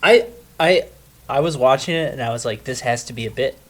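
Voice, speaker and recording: A man's voice: medium pitch, a little fast. A man chatting casually and recounting things to a group. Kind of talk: casual storytelling chat.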